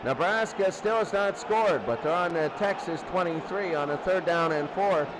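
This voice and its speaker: A man calling football play-by-play, getting excitable when the action picks up. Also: high-pitched, normal speed. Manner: excitable on big plays. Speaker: play-by-play sports commentator. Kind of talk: American football game commentary. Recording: clear background noise.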